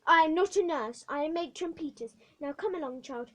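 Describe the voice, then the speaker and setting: A woman's voice: sits high, brisk, pitched above the performer's own voice, close to an everyday voice. A woman voicing a stern, scornful orphanage matron who barks orders in a story reading. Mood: scolding. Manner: scolding, commanding.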